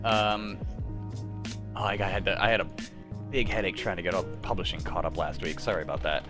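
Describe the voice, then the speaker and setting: A man's voice: low-pitched, steady tone, a little fast, music in the background. A young adult man hosting a livestream, chatting in an informal, joking way. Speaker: livestream host. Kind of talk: casual chat.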